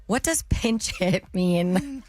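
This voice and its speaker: Raspy-voiced woman reading radio gossip. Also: mid-range voice, animated, takes it slowly, darkish tone, no room sound, soft background music. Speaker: radio co-host, entertainment news reader. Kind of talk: reading entertainment news on radio. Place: radio studio.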